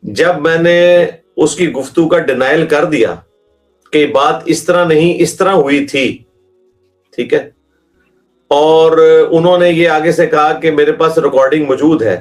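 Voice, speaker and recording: A man's voice: on the high side, measured pace, soft background music. A man with a Pakistani accent in a question-and-answer talk, arguing emphatically as a religious scholar and throwing out rhetorical questions.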